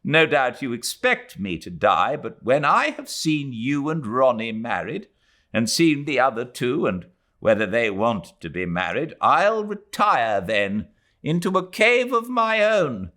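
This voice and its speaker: A man's voice: mid-range voice, sing-song, unhurried, bright, forceful tone, pitched above the performer's own voice. A man voicing a bitter, weary old woman. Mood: sour. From Britain. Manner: sour, clipped, resentful.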